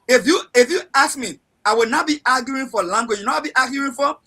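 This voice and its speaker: Insistent husky-voiced Liberian man. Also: extremely high pitch, fast, bright, forceful tone. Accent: Liberian English. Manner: insistent, repeats phrases, talks over others. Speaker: debate panelist. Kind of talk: heated panel debate.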